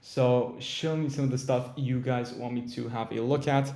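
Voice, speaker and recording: A man's voice: medium pitch, steady tone, moderate pace, warm tone. A young man from Turkey, tired after a long day, hosting a casual livestream in a rambling, chatty way that often interrupts itself. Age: young adult. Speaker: crypto livestream host.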